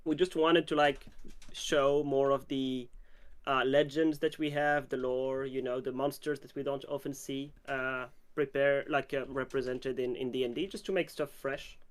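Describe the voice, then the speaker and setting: A man's voice: mid-range voice, little pitch movement, normal speed. Casual livestream host, a man from Morocco. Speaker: stream host and game publisher team member.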